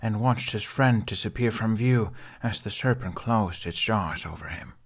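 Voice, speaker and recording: An awkward young male storyteller as a man acts him out for a fantasy audiobook. He is earnest and wry, with some gravel in the voice. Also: lowish voice, normal speed. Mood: hushed, dramatic. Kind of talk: audiobook character voice.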